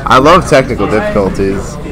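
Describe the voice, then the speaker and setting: A man presenting an informal convention panel in a playful, exclamatory way with a loud voice. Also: on the low side, lively intonation, brisk. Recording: live room with crowd noise. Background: audience murmur and room noise.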